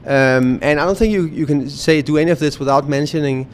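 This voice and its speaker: Danish-accented man, halting and filler-heavy. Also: a little high, slight background noise. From Denmark. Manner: hesitant, many fillers and restarts. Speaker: museum executive director. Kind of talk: casual radio interview.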